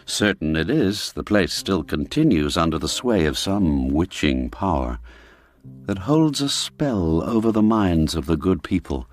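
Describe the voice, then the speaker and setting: A man narrating a classic radio story in a measured, deliberate storytelling manner. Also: sits low, sing-song. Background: faint background music.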